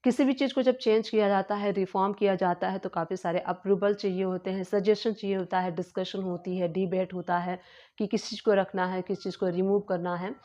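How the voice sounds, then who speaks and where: A woman explaining tax news in a casual, hesitant lesson, pausing with fillers and repeating herself. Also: mid-range voice, steady tone, darkish tone. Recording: slightly dull lo-fi home recording.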